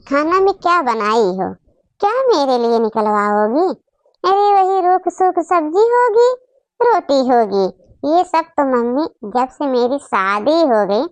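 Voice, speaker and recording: Woman acting as young married daughter, high voice, unhurried, snobbish, bragging, complaining, comedy cartoon. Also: no echo, full character voice.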